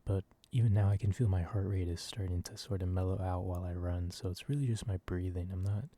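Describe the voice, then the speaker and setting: A man softly rambling in a casual ASMR style, hesitant and informal, with a breathy voice. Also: low voice, fairly even intonation, fast talker. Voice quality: soft, breathy. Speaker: video creator. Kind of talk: soft-spoken ASMR ramble.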